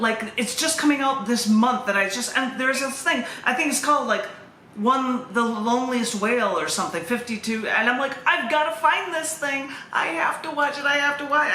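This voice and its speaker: Bright-voiced woman reading poetry, echoey room. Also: fairly high pitch. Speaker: open mic host and poet. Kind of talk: poetry reading with chatty introductions. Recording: echoey room, faint background noise.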